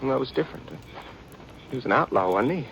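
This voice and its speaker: An American actor playing a nervous, defensive outlaw in an old radio western, stammering and wheedling in folksy rural speech. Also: male voice, medium pitch, animated, fast, gravelly. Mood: defensive, aggrieved. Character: nervous, defensive outlaw turned informer, pleading for protection. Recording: noisy vintage radio drama recording.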